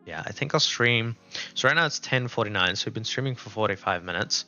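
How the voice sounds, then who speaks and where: Bright South African man streaming casually. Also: on the low side, animated, brisk, lo-fi sound. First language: Afrikaans. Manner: rambling, thinking aloud, unscripted. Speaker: game streamer. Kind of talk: casual live-stream chat. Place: indoors at a laptop. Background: music playing.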